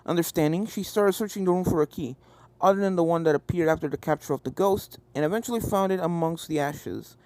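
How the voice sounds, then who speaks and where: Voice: mid-range voice, fast talker, a little mellow, no room sound, low-quality recording. A reader giving scripted narration of a fan fiction story. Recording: game music behind the voice.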